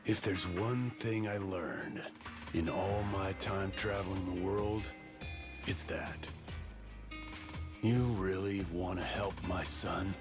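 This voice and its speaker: An actor playing a proud, gruff middle-aged father, protective and distrustful, in a video game cutscene. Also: sits low, fairly even intonation, takes it slowly, music in the background. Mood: stern. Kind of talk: English video game dub acting.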